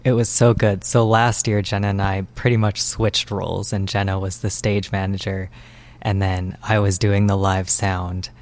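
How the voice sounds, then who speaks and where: Young male student interviewee, fairly low voice, relaxed informal chat style. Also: moderate pace. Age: young adult. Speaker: student interviewee, stage manager and director. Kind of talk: casual interview answers.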